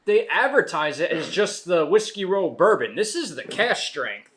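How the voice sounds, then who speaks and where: A man's voice: high voice, sing-song, fairly bright tone, a little hiss or hum. A man hosting a whiskey review show, chatting casually and trailing off into restarts. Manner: rambling, trails off and restarts mid-sentence; reads facts aloud haltingly. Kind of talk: casual tasting chat.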